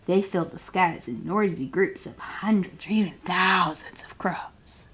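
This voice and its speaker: A woman's voice: on the low side, measured pace, fairly bright tone. A woman reading a children's picture book aloud as a storytime librarian, expressively and with questions put to young listeners.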